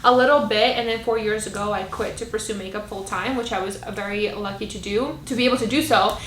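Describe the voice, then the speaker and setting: A woman's voice: medium pitch, steady tone, brisk, fairly bright tone. A woman in her late twenties co-hosting a podcast in casual chat, full of likes and you knows. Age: 20s. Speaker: podcast co-host. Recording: roomy echo, faint background hum.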